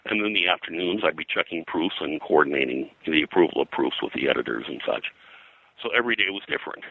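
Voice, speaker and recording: An older man reminiscing as an interview guest, casually rambling and halting, with false starts and repeated phrases. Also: rumbling low, expressive, sharp tone, poor audio. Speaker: interview guest, veteran comics production artist.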